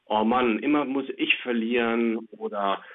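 A man's voice: medium pitch, a little slow, slight background noise. A man speaking over the telephone as an expert guest, explaining things simply and plainly with little spoken examples. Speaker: child psychiatrist, expert guest. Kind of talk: explanatory interview over the phone. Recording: tinny, narrow phone line.

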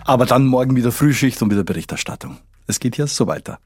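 A man's voice: mid-range voice, lively intonation, fast, husky, no echo, clean studio sound, soft background music. A man explaining things informally as a correspondent, thinking aloud and correcting himself as he goes. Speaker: foreign correspondent. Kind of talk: informal explanatory interview talk.

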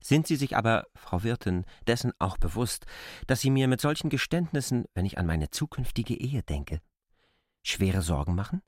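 A man's voice: fairly low pitch, sing-song, moderate pace, a little mellow. A man playing a persistent, uneasy land surveyor in a literary radio drama, formal yet pointed in his questioning. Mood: troubled, challenging.

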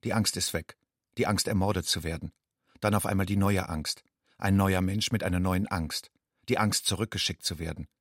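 An actor playing a haunted, watchful refugee man in a radio drama monologue, husky, speaking in measured, stark fragments. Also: deep voice, fairly even intonation, no room sound, studio quality. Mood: grave, intense.

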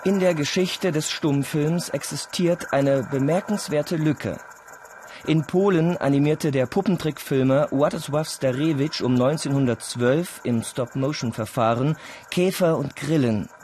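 A man's voice: fairly high pitch, a little slow, a little mellow, slight background noise. A man reading scripted documentary-style narration as a narrator.

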